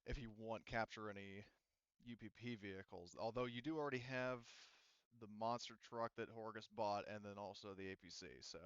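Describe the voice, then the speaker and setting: A man working as game master, narrating casually and often thinking aloud in a halting way. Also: on the low side, steady tone, clear, resonant tone, dry recording, muffled recording.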